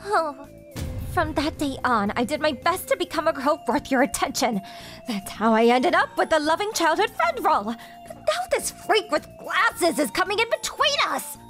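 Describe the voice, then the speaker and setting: Very high-pitched clingy anime teenage girl. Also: very animated, normal speed, fairly bright tone, faint music behind. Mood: shy, sweet. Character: clingy, jealous teenage girl, lovestruck childhood friend. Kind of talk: anime-style romantic comedy dub.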